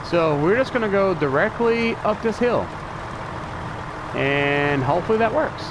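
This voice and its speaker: A man's voice: high-pitched, expressive, measured pace. A man with a stuffy nose from allergies, thinking aloud in casual live commentary as he streams a truck-driving game. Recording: clear game engine and truck noise behind voice. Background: truck engine and vehicle sounds from the game.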